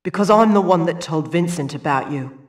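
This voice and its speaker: A man's voice: a little high, animated, brisk, natural voice. A man playing an earnest, timid, guilt-ridden young boy in a stilted video game performance. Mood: anxious. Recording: long hall echo, music behind.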